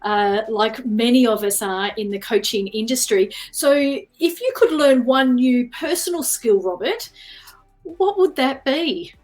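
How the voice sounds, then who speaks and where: A woman's voice: on the high side, lively intonation, unhurried, a little mellow. Woman hosting an interview, chatty and encouraging, faint music underneath. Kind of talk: interview questions.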